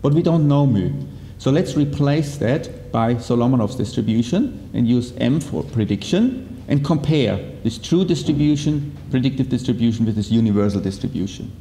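A man's voice: mid-range voice, expressive, moderate pace, warm tone. Male lecturer, middle-aged, explanatory conference delivery with frequent check-in tags; long room reverb and faint background noise. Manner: checks in with short tags like okay and yeah. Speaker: academic lecturer presenting a paper. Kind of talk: technical conference lecture, explanatory and semi-improvised. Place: indoor lecture room with projector screen.

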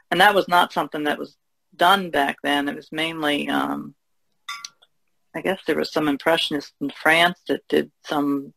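A woman's voice: low-pitched, creaky, fairly bright tone, dry recording, rough recording. A woman speaking casually and informally as a panelist in a podcast discussion.

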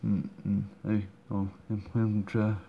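A man commenting on a game he is playing, halting and full of fillers and restarts, recorded at home. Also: lowish voice, completely flat delivery, gravelly. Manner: hesitant, many fillers and restarts. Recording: dull muffled recording, faint room noise.

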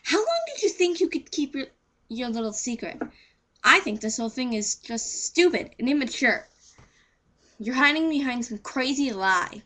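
A woman's voice: high-pitched, sing-song, normal speed, fairly bright tone, voice pushed up, heavily acted. A teenage girl voicing a blunt, disapproving teen friend with a frank, earnest manner, in an amateur audition.

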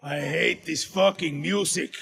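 An actor playing a gruff, foul-mouthed old-hand guerrilla spymaster in a video game, blunt and wry. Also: male voice, fairly high pitch, fairly even intonation, a little slow, slight background noise. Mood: wry, sour. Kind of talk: video game cutscene acting. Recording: loud music over the voice.

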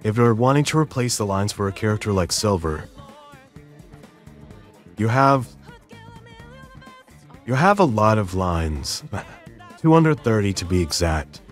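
Guy with a lowish voice walking you through software steps, casual, music playing behind him. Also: very animated, a little slow, long pauses. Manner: casual, explanatory, instructional. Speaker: tutorial host. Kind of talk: step-by-step software tutorial narration.

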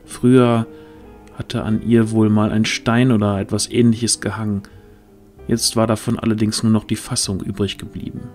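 A man's voice: fairly low pitch, level tone, moderate pace, darkish tone. A man narrating a fantasy audio drama as a scripted storyteller. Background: music.

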